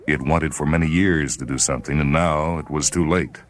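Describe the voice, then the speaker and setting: A man reading a short story as dramatic narration, grave and measured, with theatrical emphasis. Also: rumbling low, steady tone. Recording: faint noise and ambient sound bed. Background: faint ambient sound.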